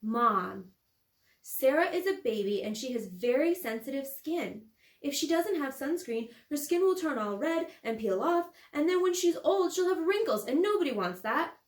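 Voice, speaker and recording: A woman's voice: high voice, expressive, normal speed, roomy sound, voice pushed up. A woman voicing an earnest, imaginative young girl who bossily plays at being grown-up in a storybook reading. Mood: earnest, insistent. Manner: earnest, insistent, playing grown-up. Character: young girl Ella, bossy, earnest, imaginative little sister.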